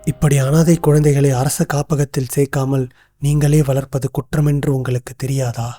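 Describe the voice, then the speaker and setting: Guy as a careful loyal king's minister, really mellow dark tone, formal speech, faint music. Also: mid-range voice, flat delivery, lightly acted. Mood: stern, questioning. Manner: formal, measured, literary court speech. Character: loyal, cautious royal minister to the king. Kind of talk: animated folktale acting. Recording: music under the voice.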